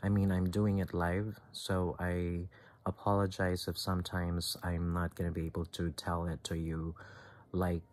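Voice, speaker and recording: A man in his thirties with a very low voice and a Filipino accent speaks English, his second language. He talks live and unscripted, stopping to reach for words and often starting a sentence over. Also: completely flat delivery, a little mellow. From Philippines. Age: 30s. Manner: halting, many fillers and restarts. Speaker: vlogger. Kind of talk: casual talk to camera, done live.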